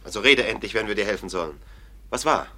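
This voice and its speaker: A gruff official in a radio play, his husky voice swinging widely in pitch. He is brusque and distrustful, used to giving orders and openly scornful. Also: lively intonation, quick pace, pushed and bright, heavily acted. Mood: scornful, impatient. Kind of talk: German radio drama acting.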